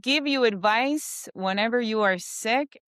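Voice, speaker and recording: A chatty woman guest with a smooth, clear voice, recorded close and dry with no room sound. She talks easily and loosely, peppering her sentences with filler words. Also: fairly high pitch, unhurried, a bit bright, no room sound. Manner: chatty, many "you know" fillers. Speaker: guest, insurance professional. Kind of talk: casual interview chat.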